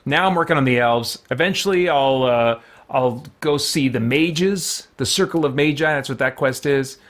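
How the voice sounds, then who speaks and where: A man's voice: mid-range voice, moderate pace, a bit bright. A man hosting a live game stream, chatting informally and thinking aloud as he goes. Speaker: live streamer, gaming channel host. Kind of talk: casual live game stream chat and recap.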